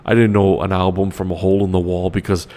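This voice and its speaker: A man's voice: sits low, little pitch movement, fast talker, dark tone. A man, rambling enthusiastically as a solo show host, full of fillers and restarts. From Canada. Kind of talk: casual solo talk.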